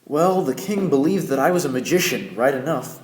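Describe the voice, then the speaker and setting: A man's voice: medium pitch, lively intonation, fairly quick, crowd noise, natural voice. A young man playing a wry, self-assured Yankee who recounts his own adventure in an amateur radio play. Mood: wry, confident. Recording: echoey room with background noise.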